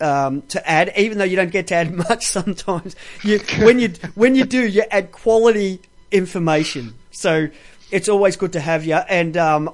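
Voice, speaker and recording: Talkative, high-pitched male show host. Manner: talkative, coarse-mouthed. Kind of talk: rambling casual live talk show.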